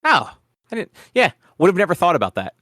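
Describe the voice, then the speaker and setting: A man's voice: high voice, wildly swooping pitch, fast talker, sharp tone, dry recording, clean studio sound. Casual, profane man chatting about films. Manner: rambling, profane. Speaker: podcast co-host. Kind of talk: casual film discussion chat.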